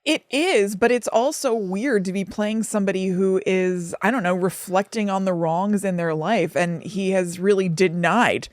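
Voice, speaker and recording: A woman with a mid-range voice co-hosts a relaxed-format film discussion. The recording is dry and close. She thinks out loud, qualifying her points as she goes. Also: mid-range voice, moderate pace, hardly any pauses, dry recording. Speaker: podcast co-host. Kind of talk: casual film discussion chat.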